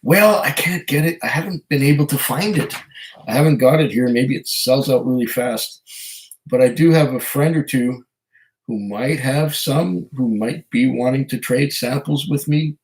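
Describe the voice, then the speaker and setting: Man, hoarse, getting over a cold, easygoing live-stream chat, rambling, lots of ums. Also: mid-range voice, normal speed, poor audio. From Canada. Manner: rambling, hesitant, chuckles often. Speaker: whiskey channel host reading live chat.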